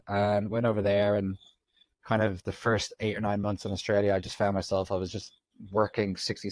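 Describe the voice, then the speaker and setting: A man in his forties with an Irish accent, from Ireland, telling his story as a podcast guest in a reflective, halting way. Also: fairly low pitch, no room sound. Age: 40s. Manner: reflective, frequent ums and restarts. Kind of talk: candid conversational storytelling.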